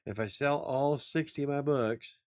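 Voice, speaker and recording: A man hosting a casual after-hours chat show, rambling, laughing often and swearing now and then, with a slightly raspy voice. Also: mid-range voice, normal speed, darkish tone, no echo, clean studio sound. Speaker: host.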